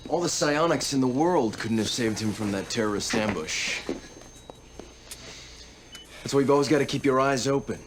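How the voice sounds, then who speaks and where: An actor playing a tough, sardonic officer and stern older brother in a video game cutscene, gruff and commanding. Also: male voice, mid-range voice, expressive, moderate pace, pauses often, noisy background, natural voice. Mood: gruff, instructing.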